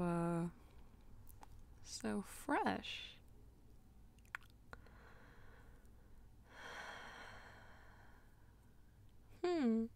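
A woman playing a dominant, teasing female vampire lover in an intimate ASMR roleplay, coaxing and breathy, often dropping toward a whisper. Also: mid-range voice, dark tone. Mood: jealous, suspicious. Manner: slow, coaxing, teasing pet names.

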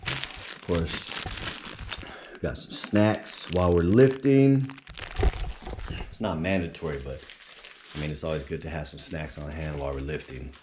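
A man casually narrating a vlog as he tours his weightlifting gym, relaxed and chatty. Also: a bass voice, a little slow, long pauses, background noise. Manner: relaxed, chatty, laid-back. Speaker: vlogger touring his gym. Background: music.

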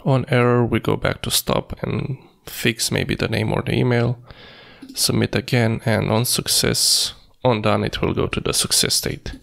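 A man with a heavily creaky, gravelly voice and a middling pitch walks through a screencast tutorial. He thinks aloud as he works, speaking in halting pieces and sometimes half murmuring to himself. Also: steady tone, fairly bright tone. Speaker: coding tutorial presenter. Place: indoors at a computer, screen recording.

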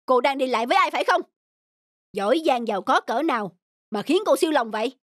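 A man voicing a gruff, jealous husband in a dubbed cartoon, emphatic and emotional. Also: extremely high pitch, expressive, fast talker, fairly bright tone, dry recording, studio quality. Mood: jealous, accusing. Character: jealous gruff husband, suspicious, later remorseful. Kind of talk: Vietnamese cartoon dubbing.

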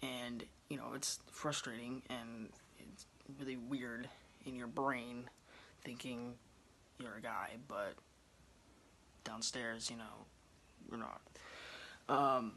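A man's voice: mid-range voice, slow pace, gravelly, pauses often, a little hiss or hum, poor audio. A man in his twenties chatting casually to his phone camera in a small room at home, rambling with lots of ums. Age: 20s. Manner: relaxed, rambling, many ums. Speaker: vlogger. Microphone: phone camera close up.

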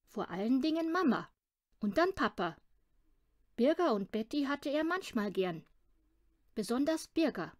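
A woman's voice: a little high, dry recording, studio quality. A woman narrating a children's audiobook from a script. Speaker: audiobook reader.

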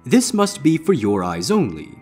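A man voicing a theatrical, ominous pony stage magician with deliberate showman's patter in a storybook reading. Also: mid-range voice, huge pitch swings, a little mellow, soft background music, pitched above the performer's own voice. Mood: explaining, ominous. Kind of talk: character voice in audiobook-style fan fiction reading.

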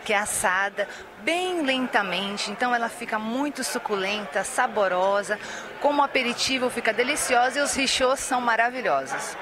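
A woman's voice: on the high side, expressive, moderate pace, background noise. A Brazilian woman in her thirties giving a promotional interview as a restaurant co-owner. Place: restaurant by open night street. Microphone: handheld interview microphone. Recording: clear restaurant crowd chatter.

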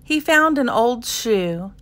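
A woman reading a children's picture book aloud in an expressive narrating style. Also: fairly high pitch, slow. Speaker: storybook reader.

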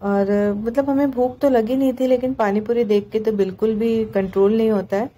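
A woman's voice: a little high, steady tone, fairly quick, dark tone. A woman narrating a vlog in a casual, chatty, conversational way. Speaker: market vlogger.

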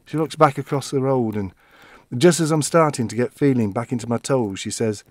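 Elderly male widower, gentle and a bit fussy, acted by a man, mid-pitched, reflective audio-drama monologue with halting delivery, very dry sound. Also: no echo, natural voice. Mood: wistful. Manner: reflective, halting storytelling with animated quoting. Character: elderly widower, gentle, wistful, fussily defensive.

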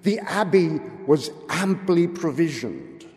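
A middle-aged man giving a dramatic literary reading with deliberate phrasing and theatrical pauses. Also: on the high side, lively intonation, darkish tone. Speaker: reader at a town meeting. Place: indoor hall, at a podium before an audience. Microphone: gooseneck podium microphone. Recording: reverberant hall.

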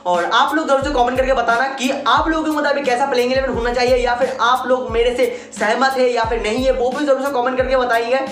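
A man's voice: very high voice, steady tone, fairly quick, few pauses, a bit bright. A young man talking casually to the camera as a cricket channel presenter. He is chatty, talks with his hands and keeps falling back on fillers like "theek hai" and "matlab". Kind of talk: casual to-camera sports chat. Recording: echoey room with faint background music.

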